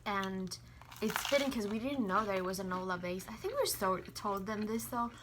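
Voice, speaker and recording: Woman from Finland chatting over clear noise. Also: mid-range voice, expressive, normal speed, fairly bright tone. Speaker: mukbang vlogger. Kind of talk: casual chat while eating. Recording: noisy room sound behind the voice.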